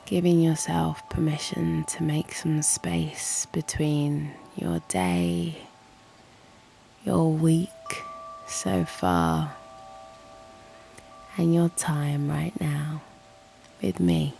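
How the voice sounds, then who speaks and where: A woman leads a guided meditation at an extremely slow pace, in a low, soft and breathy voice that often nears a whisper. There is noticeable creak in her tone, and her manner is soothing and gently encouraging. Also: poor audio. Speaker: yoga nidra guide and channel host. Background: soft music.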